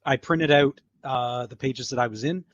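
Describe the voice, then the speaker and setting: A man with a mid-pitched voice talks casually as an interview guest. The sound is close and dry but slightly dull and muffled. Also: normal speed, dry recording. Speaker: guest voice actor.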